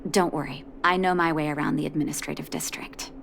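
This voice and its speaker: An actress playing a gentle, composed young woman doctor in a video game, speaking softly and with measured care. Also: low-pitched, fairly even intonation, gravelly, slight background noise, exaggerated voice. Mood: hopeful, warm. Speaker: game character, underground doctor. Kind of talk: acted video game dialogue. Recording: studio voice over game music.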